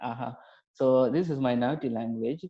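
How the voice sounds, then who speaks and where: A man from India with a Tamil accent and Tamil as his native language, speaking halting, hesitant learner English and politely saying "ma'am" often. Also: medium pitch, warm tone. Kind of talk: casual conversation practice. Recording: dull lo-fi call audio.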